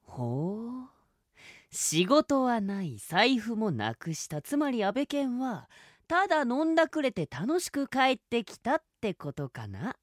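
A woman's voice: fairly high pitch, sing-song, takes it slowly, no echo, studio quality, full character voice. A woman playing an exasperated, responsible young male samurai, the blunt, scolding straight man of a comedic audio drama. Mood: coldly menacing. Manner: blunt, scolding boyish speech.

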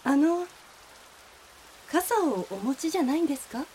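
An actress playing a gentle, polite, mysterious woman in a radio drama, soft and wistful in speech. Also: high voice, very animated, normal speed, slight background noise, rough recording.

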